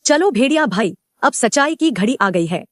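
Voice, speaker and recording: A woman voicing a gentle, cautious, wise female goat in a cartoon moral story, earnest and polite. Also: fairly high pitch, very animated, moderate pace, no echo, clean studio sound.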